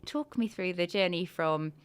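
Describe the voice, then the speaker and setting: A woman's voice: on the low side, normal speed, smooth. A woman interviewing a guest on a podcast in a casual, chatty style.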